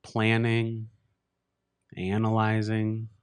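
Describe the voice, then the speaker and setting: A man leading a guided meditation as a teacher, speaking gently and unhurriedly in short phrases with long pauses. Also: on the low side, completely flat delivery, clean tone.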